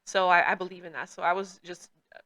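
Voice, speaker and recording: A woman's voice: lowish voice, steady tone, fast talker, pushed and bright. A woman whose first language is Portuguese, hosting a casual talk and reflectively telling a personal story in English. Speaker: podcast host; works with children.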